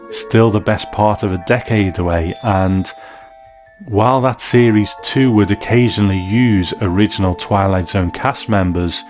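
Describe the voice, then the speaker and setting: Male documentary host, low-pitched, scripted narration with soft music underneath. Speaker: host and interviewer.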